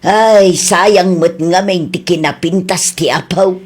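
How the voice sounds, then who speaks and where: A woman's voice: fairly low pitch, takes it slowly, darkish tone. A woman with an Ilocano accent playing an indignant, complaining village woman in a comedy radio drama. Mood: indignant, defensive. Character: complaining, indignant village women in a comic squabble.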